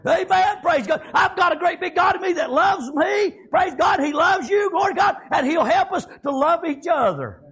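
A man's voice: extremely high pitch, animated, moderate pace, hardly any pauses, fairly bright tone. A man with a Southern American accent preaching a fervent revivalist sermon in a folksy way, peppered with exclamations of praise. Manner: folksy, colloquial.

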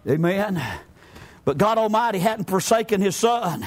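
A man with a Southern US accent preaching a fervent evangelical sermon, exclamatory and often rising toward a shout, punctuating his points with amens. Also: very high voice, expressive, moderate pace.

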